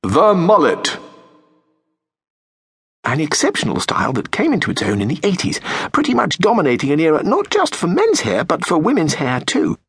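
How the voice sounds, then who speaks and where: A British man reads a comic audiobook with sardonic, profane deadpan timing. His voice is a little husky and sits slightly high for a man. His pitch swings widely, and the close mic gives a dry, dead studio sound. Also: normal speed, dry recording. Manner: lively, wide-ranging pitch. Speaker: audiobook narrator. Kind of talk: scripted comic narration.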